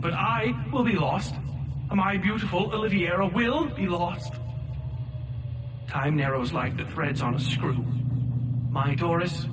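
A man's voice: a little high, lightly acted. An actor playing a desperate, bigoted small-town mayor who reads out his letters in formal, florid, pleading oratory for an audio drama. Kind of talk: dramatic reading of old-fashioned letters. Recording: very noisy ambient background, distant quiet voice.

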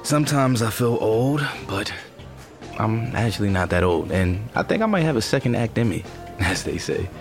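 Low-voiced, gentle, supportive male partner. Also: sing-song, moderate pace, soft background music. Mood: bashful. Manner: mild, hesitant, reassuring. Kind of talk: naturalistic audio drama acting.

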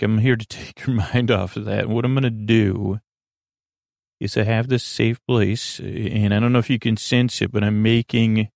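Male sleep podcast host: low-key soothing rambler, gravelly creak, close dry sound, wandering and stumbling gently through sentences. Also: dry recording. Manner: meandering, halting, self-interrupting; lulling, soothing.

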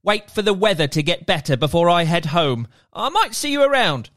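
A man's voice: high-pitched, big pitch swings, brisk, fairly bright tone. A man voicing a cheerful, laid-back merman in a storybook reading, chatty and breezy.

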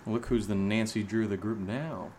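An actor playing a sardonic, shaken man who jokes through fear, speaking haltingly in a naturalistic horror audio drama. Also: on the low side, steady tone, a little mellow, a little hiss or hum, close to an everyday voice. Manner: hesitant, halting, sarcastic.

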